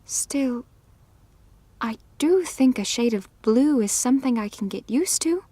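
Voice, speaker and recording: Woman playing a high, soft, timid young maiden. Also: animated, a little slow, no echo. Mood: timid, hopeful. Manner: soft, hesitant, formal storybook phrasing. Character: young frail maiden, timid, polite, wary but hopeful. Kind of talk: dramatised audiobook acting.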